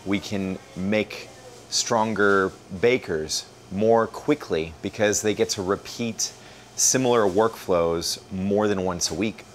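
A man in his thirties with an American accent, explaining in a relaxed way and giving a casual tour of a small bakery kitchen. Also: on the low side, slow, slight background noise. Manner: explanatory, relaxed, many "uh" fillers. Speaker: bakery owner.

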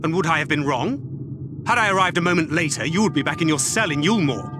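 An actor playing a stern, weary warrior and guardian in a video game, speaking in a measured, formal, terse way. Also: male voice, on the high side, expressive, close to an everyday voice. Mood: scolding. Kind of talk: dramatic video game voice acting. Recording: music behind the voice.

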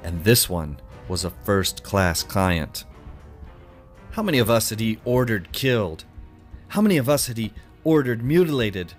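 A man narrating a first-person short story dramatically, with deliberate pauses and emotive emphasis. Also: on the low side, lively intonation, faint music behind.

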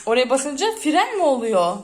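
A woman's voice: sits high, big pitch swings, moderate pace, a crowd in the background. A woman speaking casually to young children as their mother, in an encouraging, praising way. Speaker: mother filming her children at home. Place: indoors at home. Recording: noisy home video with clicks.

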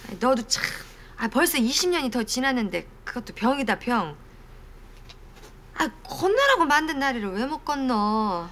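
A chatty, fussing sitcom mom in her fifties. Her high voice swoops animatedly over clear background noise. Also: sing-song, normal speed, fairly bright tone. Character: thrifty, chatty, fussing middle-aged mother. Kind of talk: sitcom acting.

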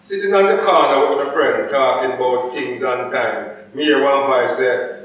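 A man's voice: mid-range voice, moderate pace, fairly bright tone, natural voice. A man reciting performance poetry as an anguished, incredulous poor Jamaican, rhythmic and dramatic, in a Jamaican accent. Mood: incredulous, lamenting. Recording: very echoey hall, distant muffled mic.

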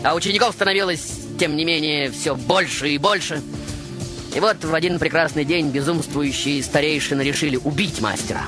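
A man hosting a radio show, delivering a scripted literary monologue in a dramatic, deliberate, theatrical manner. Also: fairly high pitch. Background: music behind the voice.

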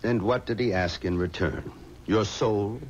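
An actor playing a skeptical, upright small-town undertaker, gruff and formal, in a 1970s radio drama. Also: male voice, fairly low pitch, unhurried, natural voice. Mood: skeptical, firm. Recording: dull, hissy 1970s radio recording.

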